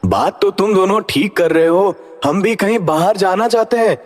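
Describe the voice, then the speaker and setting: Man voicing a high-pitched cautious male ghost, reverberant. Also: normal speed, natural voice. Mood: thoughtful. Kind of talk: animated Hindi story dialogue. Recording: long hall reverb, music underneath.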